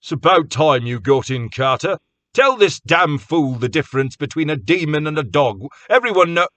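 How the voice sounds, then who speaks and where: A man doing a loud, blustering villager. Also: on the high side, animated, normal speed, dry recording, voice pushed up.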